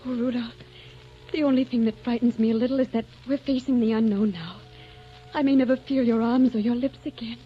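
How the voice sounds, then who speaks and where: An actress playing a tender, devoted young woman in a doomed romance, with soft, wistful delivery in 1940s radio drama style. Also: fairly high pitch, fairly even intonation, a little fast, breathy edge. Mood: tender, fearful. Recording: dull old radio recording, soft music behind.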